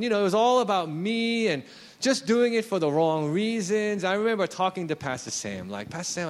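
Animated man in his late thirties preaching. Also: a little high. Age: 30s. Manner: conversational, self-deprecating, animated. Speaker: pastor. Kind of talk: sermon.

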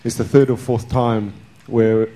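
A man's voice: medium pitch, flat delivery, measured pace, mellow. A man sharing a short testimony at a church meeting, starting hesitantly. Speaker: congregant giving a testimony.

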